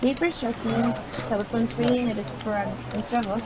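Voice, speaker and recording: A woman's voice: on the high side, fairly even intonation, fairly quick. A woman gamer reading visual novel narration aloud, stumbling now and then and adding asides. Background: loud piano music from the game.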